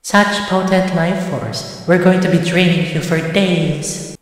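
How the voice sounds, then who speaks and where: A woman voicing a swarm of hungry, seductive ghostly spirits that speak slowly and teasingly as one group. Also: mid-range voice, fairly even intonation, close to an everyday voice. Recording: heavy echo with long reverb.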